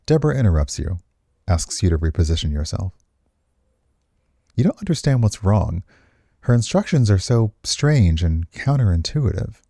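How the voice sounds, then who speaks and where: A man's voice: low voice, sing-song, normal speed, creaky, pauses often, dark tone, no echo. A man reading visual novel text aloud expressively as a game streamer, slipping into casual commentary.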